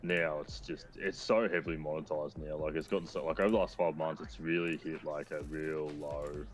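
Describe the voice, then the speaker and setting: A man's voice: rumbling low, flat delivery, fairly quick. A man nearing thirty chatting casually about games, loose and profane, with many filler words. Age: 20s. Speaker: co-streamer. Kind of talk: casual gaming chat.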